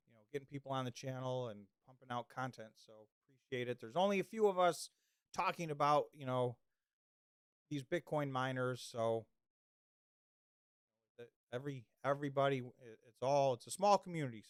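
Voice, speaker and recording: A man's voice: medium pitch, normal speed, clean tone, dry recording, clean studio sound. A man hosting a livestream in casual chat, rambling with many restarts and losing his voice. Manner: rambling, restarts often, reads chat comments. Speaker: livestream host.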